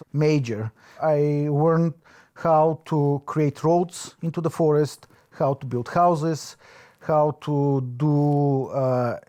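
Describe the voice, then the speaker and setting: A man with a Bulgarian accent, reflecting in an interview, pausing often to search for his words. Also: on the high side, mellow. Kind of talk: reflective oral history interview.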